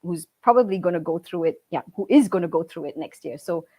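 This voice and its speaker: Singaporean woman, low relaxed voice. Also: quick pace, breathy edge. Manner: relaxed, many fillers like "you know". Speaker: guest, restaurateur. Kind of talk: casual interview chat.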